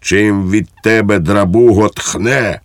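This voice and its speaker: A man's voice: slow pace, no echo, studio quality. A man voicing an irascible, bullying army second lieutenant in an audiobook, barking out threats and orders. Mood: gloating. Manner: barking, shrill outbursts, threatening. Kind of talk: audiobook character acting.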